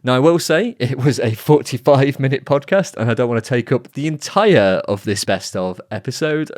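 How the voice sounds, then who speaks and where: Lively, expressive male podcast host. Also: no echo, clean studio sound. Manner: lively, expressive delivery with wide pitch swings. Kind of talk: scripted podcast narration.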